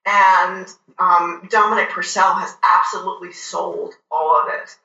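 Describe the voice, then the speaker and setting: A woman in casual TV review chat, speaking deliberately and emphatically, repeating phrases for effect. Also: lowish voice, fairly even intonation, pushed and bright, muffled recording. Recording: echoey, roomy sound.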